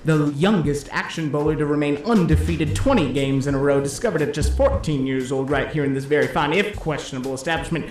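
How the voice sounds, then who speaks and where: A slick 1950s disc jockey, acted out by a man in a slightly high voice, calling bowling play-by-play over music in an echoing room. He is cheeky and theatrical, rolling out hepcat patter and stretching words for show. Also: a little mellow, natural voice. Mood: excited play-by-play. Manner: showy hepcat patter with drawn-out flourishes. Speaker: play-by-play announcer. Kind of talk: 1950s radio-style sports commentary. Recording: roomy echo, music underneath.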